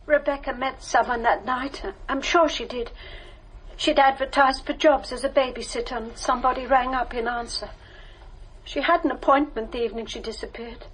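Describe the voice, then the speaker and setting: Casting: anguished middle-aged mother making a public appeal in radio drama, notably high-pitched. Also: fairly even intonation, normal speed, fairly bright tone, a little hiss or hum, poor audio. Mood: pleading, distressed. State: distressed. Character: distraught mother of missing daughter, pleading at press conference.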